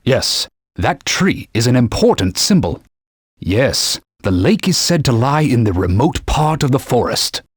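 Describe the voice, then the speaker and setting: A man voicing a haughty, pompous talking sword that guides and scolds its wielder in a formal, stern manner, with a slightly husky voice. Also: big pitch swings, a little slow, dry recording, studio quality. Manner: pompous, formal and scolding, turns shrill when alarmed. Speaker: sidekick guide. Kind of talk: video game voice clips. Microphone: dry studio mic.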